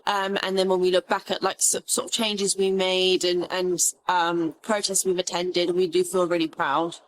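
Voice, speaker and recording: A woman's voice: lowish voice, flat delivery, normal speed, a little hiss or hum, poor audio. A young woman student, born in Iran and raised in England, answering interview questions earnestly with many fillers and restarts. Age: young adult. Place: outdoors at a protest.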